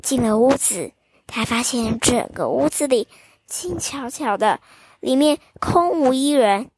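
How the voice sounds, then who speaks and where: A woman storyteller reading a fairy tale to children in a slow, expressive, sing-song way. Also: high-pitched, breathy edge, no echo.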